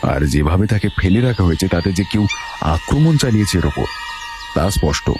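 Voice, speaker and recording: A man plays a keen, confident male detective with a low-pitched voice. He questions and gives orders with brisk authority, in an audio thriller with faint music behind him. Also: lively intonation. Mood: probing, assured. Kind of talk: audio detective story acting.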